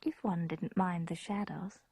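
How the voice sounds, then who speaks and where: An actress playing a dreamy, sheltered young Englishwoman in a radio drama, with a husky, creaky voice. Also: fairly low pitch, fairly even intonation, a little slow, a little mellow, no room sound, studio quality. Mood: wistful, haunted. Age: young adult. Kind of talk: radio drama narration and dialogue.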